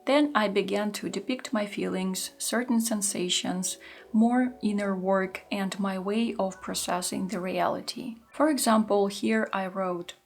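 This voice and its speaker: Woman in her thirties, gentle reflective voice-over, slight fry at phrase ends. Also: medium pitch. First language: Russian. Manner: calm, unhurried, introspective. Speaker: art journaling creator.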